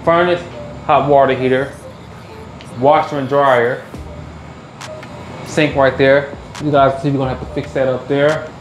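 A man's voice: mid-range voice, steady tone, unhurried, long pauses, a little hiss or hum, poor audio. A man gives a casual, upbeat house tour in bare indoor rooms, repeating his catchphrases. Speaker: realtor and house flipper. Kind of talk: walkthrough house tour vlog.

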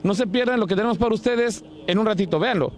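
Fast-talking reporter, thirties, high voice, handheld mic outdoors, faint background noise. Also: male voice. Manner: energetic, rapid delivery. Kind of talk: upbeat on-location presenting. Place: outdoors at a racetrack.

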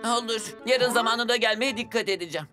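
A woman's voice: on the high side, fast, bright, forceful tone. A woman voicing a dreamy, good-natured young bus in a dubbed children's cartoon. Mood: earnest. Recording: background music under the voice.

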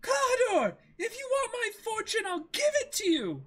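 Man voicing a high-pitched, pleading young rich girl. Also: huge pitch swings, normal speed, fairly bright tone, heavily acted. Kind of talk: amateur dramatic manga reading.

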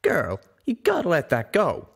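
A man's voice: on the high side, huge pitch swings, measured pace, gravelly, husky, pitched above the performer's own voice, exaggerated voice. A man voicing a cocky cowboy pegasus with a folksy drawl. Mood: wry, hushed.

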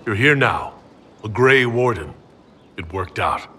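An actor playing a composed, inquisitive hero and leader in a fantasy game, with a direct, questioning manner. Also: male voice, fairly low pitch, animated, slow, bright, forceful tone, a little hiss or hum, heavily acted. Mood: calm, supportive.

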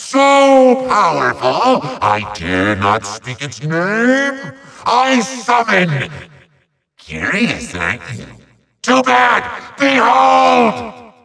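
An actor playing a pompous, short-tempered evil sorcerer villain in a video game, with a gravelly, nasal voice and bombastic, sputtering theatrical delivery. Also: male voice, fairly high pitch, wildly swooping pitch, drawn-out words, fairly bright tone, distant mic, heavily acted. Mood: grandiose, furious.

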